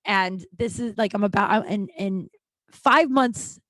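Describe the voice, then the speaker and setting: A woman's voice: mid-range voice, unhurried, a bit bright, no echo. A woman in her late thirties hosting a solo podcast, rambling candidly and confessionally with plenty of swearing and restarts. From Denver, USA. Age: 30s. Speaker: podcast host.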